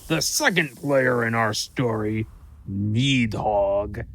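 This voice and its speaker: Man voicing a slow, creaky, gossiping squirrel. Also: mid-range voice, a bit bright, slight background noise. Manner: flippant, boastful storytelling. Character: sly, gossiping, mean male squirrel messenger. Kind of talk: audio drama character acting.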